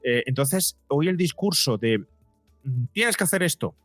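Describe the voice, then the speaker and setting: A man's voice: medium pitch, animated, a little slow, a bit bright, no echo, soft background music. A middle-aged man speaking as an interviewed psychologist, explaining earnestly and emphatically in a conversational way, often acting out imagined dialogue.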